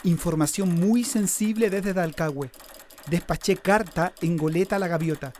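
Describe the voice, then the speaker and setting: Actress as a terse, mellow telegram voice. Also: female voice, on the low side, normal speed, slight background noise, natural voice. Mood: urgent, terse. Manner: clipped, terse. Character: urgent telegram being read out. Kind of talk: radio drama telegram reading. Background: clacking typing sounds.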